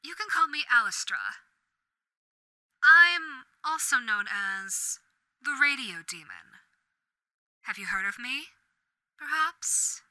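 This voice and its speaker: A woman playing a bright, silky female Radio Demon. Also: high voice, expressive, slow, long pauses, no room sound, exaggerated voice. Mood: teasing. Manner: theatrical, silky and mock-polite. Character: female Radio Demon, suave, menacing yet doting. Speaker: voice actor. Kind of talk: audio roleplay acting.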